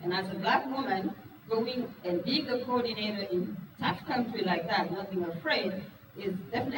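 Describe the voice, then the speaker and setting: Woman giving a talk, mic far off, echoey room with noise. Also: medium pitch. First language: Wolof. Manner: frank and earnest, asks the audience questions. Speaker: speaker at a business talk. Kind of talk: presentation to a live audience. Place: meeting room with an audience.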